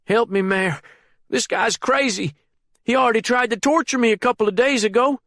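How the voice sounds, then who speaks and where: A man's voice: very high voice, normal speed, fairly bright tone, no echo, clean studio sound, voice pushed up. A man voicing a panicked older captive who whimpers and pleads in a frantic rush. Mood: panicked pleading.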